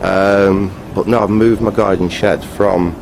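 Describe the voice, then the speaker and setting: A man with a low-pitched voice talks off the cuff, as if showing someone around. His delivery is halting and rambling but matter-of-fact. There is clear noise behind him on a casual handheld recording. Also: steady tone. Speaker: vlogger, hobbyist restorer. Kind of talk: casual unscripted video tour.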